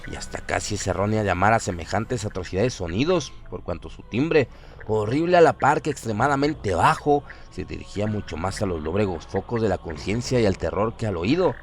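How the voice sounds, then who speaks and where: A man narrating a horror audio drama in a solemn, literary, deliberate storytelling manner. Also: fairly low pitch, expressive. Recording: loud music bed behind voice.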